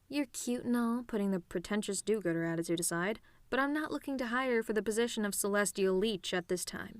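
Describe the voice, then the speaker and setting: A woman's voice: on the high side, no room sound, close to an everyday voice. A woman playing a cocky, sarcastic tomboy demon with a casual, teasing delivery in an audio roleplay. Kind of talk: ASMR-style scripted roleplay monologue.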